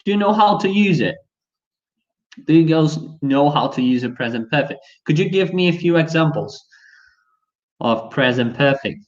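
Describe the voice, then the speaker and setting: A man's voice: a little high, animated, moderate pace, long pauses. A young adult man with a Brazilian Portuguese accent teaching a live online English class, chatty and full of fillers. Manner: chatty, many fillers like "you know". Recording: dull lo-fi stream audio.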